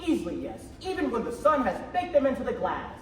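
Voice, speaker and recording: A man's voice: very high voice, wildly swooping pitch, fast talker, heavily acted. A young man playing a punchy announcer reading a 1940s radio advertisement in a declamatory sales style. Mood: salesmanlike. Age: teenager or young adult. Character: punchy old-time radio sponsor announcer. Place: school theater stage. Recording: echoey stage, distant mic, loud noise.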